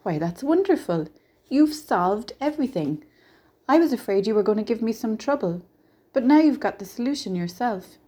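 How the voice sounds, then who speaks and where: A little stranger with a peddler's patter, voiced by a woman reading a story. He is kindly and mysterious, coaxing with patient good cheer in a soft, mellow tone. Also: mid-range voice, expressive, moderate pace, natural voice. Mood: delighted. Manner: patient, coaxing, brightly reassuring.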